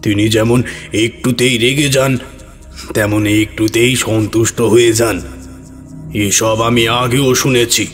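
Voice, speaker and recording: A man acting as a worried village manservant in a horror audio drama, speaking gravely and earnestly. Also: medium pitch, fairly even intonation, slow pace, soft background music, natural voice. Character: Harihar, worried village manservant recounting an eerie night.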